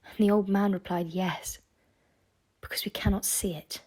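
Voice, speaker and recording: A woman's voice: mid-range voice, fairly even intonation, normal speed, darkish tone, lightly acted. A young woman playing a thoughtful diarist, in scripted reflective narration. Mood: wistful, reflective.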